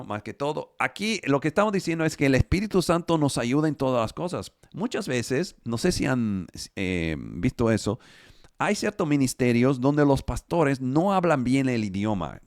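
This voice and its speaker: A man preaching in Spanish, which is not his first language, over a video call, reading scripture slowly and often searching for his words. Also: medium pitch, animated, darkish tone, dry recording, clean studio sound. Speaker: pastor.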